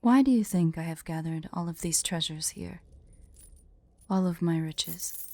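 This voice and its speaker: A woman voicing a proud, wistful dragon in an ASMR roleplay, speaking in a formal, measured way with breathy, hushed drops. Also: on the low side. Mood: intense, brooding. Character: proud, wistful female dragon hoarding treasure, tsundere. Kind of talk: ASMR roleplay with slow myth storytelling.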